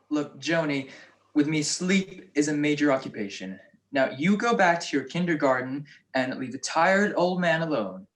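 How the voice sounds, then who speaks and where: A man playing a wry, weary gentleman detective who loves his sleep, in 1940s radio comedy style. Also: fairly high pitch, expressive, unhurried.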